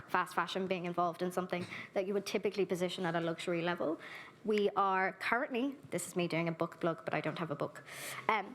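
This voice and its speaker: A woman's voice: on the low side, a little hiss or hum. A woman speaking earnestly at a conference, giving a keynote and then answering audience questions on a handheld microphone. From Ireland. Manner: earnest, warmly direct, brisk with lists. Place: conference hall.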